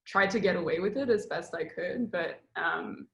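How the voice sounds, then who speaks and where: A woman speaks as a documentary director, and she thinks aloud in a hesitant way with many fillers. Her voice sits fairly low. The sound is roomy and echoey. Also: normal speed, creaky. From Montreal, Canada. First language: French. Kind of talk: casual interview. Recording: roomy echo.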